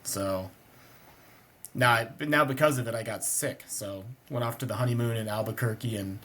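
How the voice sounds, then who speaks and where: A man co-hosting a sports podcast, hoarse and congested, talking in a relaxed, rambling way. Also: medium pitch, moderate pace. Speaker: podcast co-host. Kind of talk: casual sports chat.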